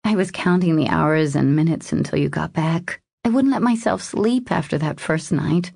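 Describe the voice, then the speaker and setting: Vulnerable, husky woman in love, voiced by a female narrator. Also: low-pitched, moderate pace, no room sound, clean studio sound, heavily acted. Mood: shy confession. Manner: hesitant, confessional, soft. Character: vulnerable, guarded young woman opening up to her lover. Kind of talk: audiobook romance dialogue.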